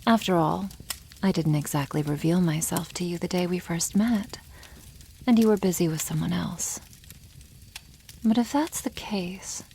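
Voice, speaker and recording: A woman voicing a haughty, possessive female dragon in an ASMR roleplay, teasing and condescending, often dropping toward a breathy whisper. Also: on the low side, a little mellow, slight background noise. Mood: coy, probing.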